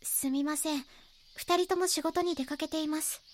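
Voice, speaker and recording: A woman acts out a young girl in an audio drama. The voice is high and polite. She speaks hesitantly, as a witness telling what she knows. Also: steady tone, moderate pace, a little hiss or hum, close to an everyday voice. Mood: polite, guarded.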